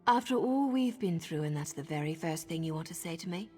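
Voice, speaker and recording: A blunt, scornful dark elf assassin woman from a fantasy game, voiced by a woman. Her low voice swings widely in pitch as she cuts people down. A long reverb trails each line, with faint music behind. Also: animated, a little fast, slightly rough. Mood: wry.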